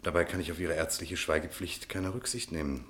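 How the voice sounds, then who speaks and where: Give this to an earnest defence lawyer in a radio drama. His voice sits low, and he reasons in formal, articulate sentences, pressing his case with insistence. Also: steady tone, brisk, a bit bright. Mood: courteous, firm. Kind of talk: German radio play dialogue.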